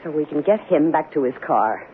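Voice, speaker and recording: An actress playing a scheming, coolly commanding wife in radio drama, with a brisk, insistent, persuasive manner. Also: female voice, sits low, animated. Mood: urgent, commanding. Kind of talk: 1970s radio drama acting. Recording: tinny, noisy old radio broadcast.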